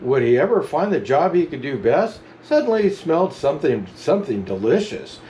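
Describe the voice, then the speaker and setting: A man reading a children's storybook aloud as a Santa storyteller, with animated, chuckling narration. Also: on the high side, fairly quick, slight background noise. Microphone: camera mic.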